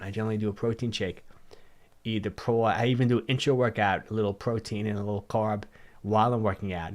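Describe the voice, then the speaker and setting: Hoarse middle-aged man with a cold. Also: fairly low pitch. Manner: rambling, repeats words, restarts sentences. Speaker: livestream host answering viewer questions. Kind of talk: casual livestream Q&A.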